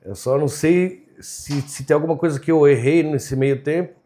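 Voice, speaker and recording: Mellow-voiced chatty Argentine-born man. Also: a little high, moderate pace. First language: Spanish. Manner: open, chatty, laughs often, fillers like "né?". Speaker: chef and entrepreneur, podcast guest. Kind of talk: casual interview chat.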